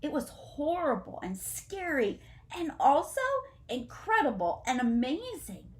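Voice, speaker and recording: A woman voicing a plucky, excitable young female possum from a storybook, with an eager, exclaiming manner. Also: sits high, a little slow, pitched above the performer's own voice. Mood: breathless, thrilled.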